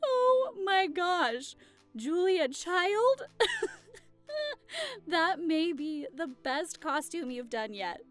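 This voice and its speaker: A woman plays a delighted hostess in an audio drama. The voice is extremely high and very slow, giggly and stretched out as it exclaims. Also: expressive, faint music behind, exaggerated voice.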